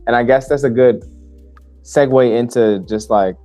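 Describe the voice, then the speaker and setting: A man's voice: mid-range voice, steady tone, normal speed, mellow, faint music behind. A young man hosting an interview, friendly and slangy, calling his guest bro. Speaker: interview host. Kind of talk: casual interview chat.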